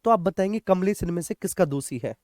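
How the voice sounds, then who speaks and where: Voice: mid-range voice, expressive, fairly quick, darkish tone, dry recording, studio quality. An exam coaching teacher, lecturing students familiarly, repeating key points and often checking that they understand. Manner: addresses students familiarly as children.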